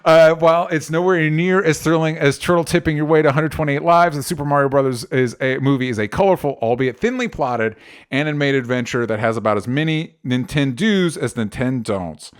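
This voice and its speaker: A man's voice: fairly high pitch, brisk, clean tone. A man hosting a podcast, chatting casually and emphatically with strong opinions and the odd swear word. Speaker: podcast host. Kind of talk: casual opinionated movie chat.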